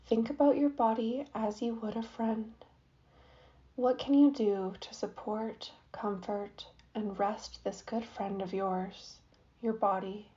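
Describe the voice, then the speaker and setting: A woman guiding a yoga nidra meditation in a soft, soothing way, with long pauses between phrases. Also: mid-range voice. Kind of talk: guided meditation. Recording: dull lo-fi recording.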